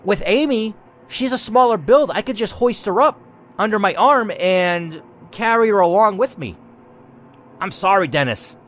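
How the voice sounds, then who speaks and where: A man's voice: high voice, expressive, moderate pace, a bit bright. A man narrating a story game dramatically and reacting to its choices with emphatic commentary, as a gaming host.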